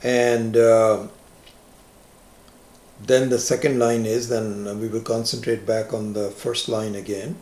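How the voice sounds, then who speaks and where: A man's voice: lowish voice, level tone, slow, darkish tone. A man whose first language is Hindi, teaching a scripture class and explaining ideas patiently, step by step, with everyday examples. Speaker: Vedanta teacher. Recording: echoey room.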